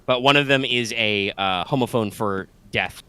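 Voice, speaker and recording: A man's voice: on the low side, moderate pace, bright, forceful tone, clean tone. A man co-hosting a live gaming stream, chatting loosely with lots of "like" and "uh" and the odd swear word. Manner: rambling explainer with frequent "like" and "uh", casual swearing. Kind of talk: casual live-stream chat over gameplay.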